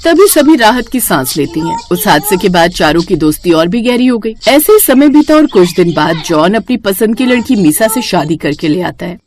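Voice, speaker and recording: A woman's voice: mid-range voice, big pitch swings, moderate pace, hardly any pauses, a little mellow, a little hiss or hum. A woman reading scripted narration for a children's bedtime story.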